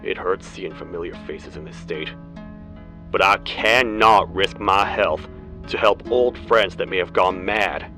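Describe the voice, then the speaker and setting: A man voicing a stern, weary cartoon toad sheriff in a video game, with a grave, formal, deliberate delivery. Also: deep voice, bright, forceful tone, faint music behind. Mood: solemn, matter-of-fact. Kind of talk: video game character acting, log narration.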